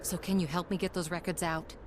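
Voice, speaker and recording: A determined woman activist from a video game, voiced by an actress, speaking earnestly and persuasively. Her voice sits a bit low. Faint ambience lies behind her. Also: flat delivery, a little fast, noisy background, lightly acted.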